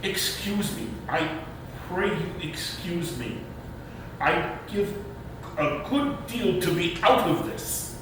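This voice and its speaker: A man playing a timid, reluctant, formal uncle with halting speech in a stage readers' theater piece. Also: animated, fairly bright tone, full character voice. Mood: pleading. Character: timid, reluctant, proper uncle who dreads babies. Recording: roomy, distant microphone with rumbling noise.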